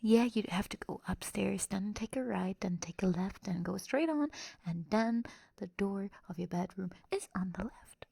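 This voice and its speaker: Woman, soft breathy whisper, crackly fry, quirky girlish ASMR storyteller, rambling and slangy. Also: medium pitch, normal speed, no room sound, clean studio sound. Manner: rambling, improvised teen-slang delivery. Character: quirky anime girl telling a clumsy bedtime story. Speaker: ASMR roleplay performer and streamer.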